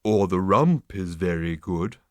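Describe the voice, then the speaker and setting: A man voicing a polite, placid bovine creature that offers itself as dinner, in slow, soft, courteous tones. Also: deep voice, lively intonation, no echo, studio quality.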